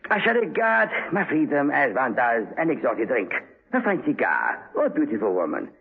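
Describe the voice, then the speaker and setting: A cultured villain in a cell, relishing every threat with mock courtesy. His very husky voice swings widely in pitch. It is a 1970s radio drama with thin, narrow sound. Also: wildly swooping pitch, full character voice. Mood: smug, savoring. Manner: mannered, measured, taunting politeness. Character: smug, cultured imprisoned male villain savoring threats.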